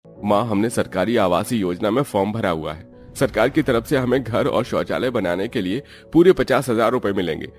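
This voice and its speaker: A man's voice: fairly low pitch, expressive, moderate pace, faint music behind, natural voice. Man playing a grumbling young village husband. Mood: reassuring. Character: defensive, hard-up young village brothers. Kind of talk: Hindi cartoon story dialogue.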